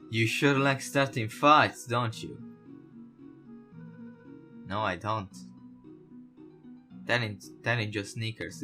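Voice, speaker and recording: A man reading a visual novel aloud as a let's player, narrating the story with casual reactions and now and then stumbling over words. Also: on the low side. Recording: voice over guitar background music.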